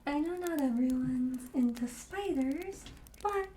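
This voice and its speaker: A mellow, soft-spoken witch shopkeeper, acted by a woman, gently welcoming and a little hesitant, slipping into whispers. She takes her time over each phrase in an ASMR roleplay style. The room adds some echo, with faint noise behind her. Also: high voice, expressive, slow pace. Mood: gentle, explanatory. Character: friendly, soothing witch shopkeeper selling curious wares.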